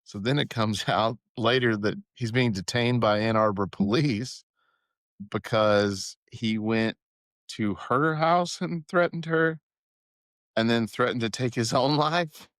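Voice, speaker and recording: A man co-hosting a casual sports podcast, easygoing and a little halting in his speech. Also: mid-range voice, fairly bright tone, dry recording, studio quality. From Arkansas. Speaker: podcast co-host.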